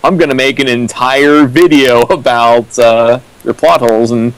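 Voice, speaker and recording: A man's voice: mid-range voice, unhurried, clean tone, no room sound, rough recording. A young man giving casual comedic commentary, dry and wry, as a co-riffer on a reading.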